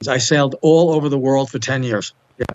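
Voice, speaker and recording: A man's voice: medium pitch, fairly quick, dull audio, slight background noise. A man in his seventies from Long Island with a New York accent, chatting as an interview guest and wandering through his memories. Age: 70s. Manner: rambling, restarts and searches for names. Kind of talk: casual interview.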